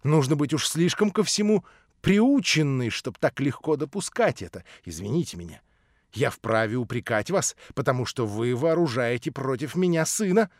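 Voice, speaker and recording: A man reading an audiobook voices an older nobleman, lowered, measured and cool. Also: lively intonation, no echo, studio quality, close to an everyday voice. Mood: cold. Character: older nobleman, cold, condescending and smooth.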